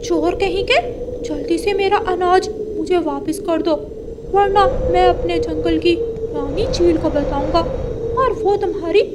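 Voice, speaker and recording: A woman voicing an indignant male parrot in a Hindi cartoon story, shrill and exclaiming. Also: unhurried, heavy background noise. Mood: threatening. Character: loud indignant male parrot, miserly grain owner.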